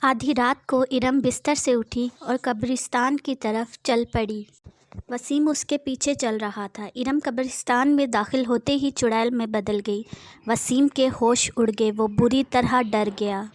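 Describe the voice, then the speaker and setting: A woman's voice: on the high side, fairly even intonation, a little slow, dry recording. A woman narrating a scripted horror story.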